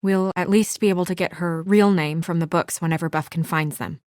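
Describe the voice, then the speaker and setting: Woman acting serious young lady official from a video game, kinda low voice, businesslike but worried, guarded, natural delivery, dry close sound. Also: fairly even intonation, a little fast, darkish tone, no echo, lightly acted.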